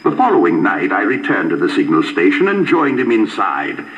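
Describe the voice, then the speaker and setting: A man's voice: on the low side, huge pitch swings, moderate pace, a bit bright, highs cut off. A man narrating a ghost story in a theatrical, suspenseful way, from a vinyl record. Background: music.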